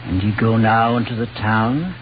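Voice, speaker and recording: An actor playing a curious, proud shepherd in a 1930s radio drama, speaking measured archaic biblical lines. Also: male voice, lowish voice, natural voice. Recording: tinny, hissy 1930s radio recording.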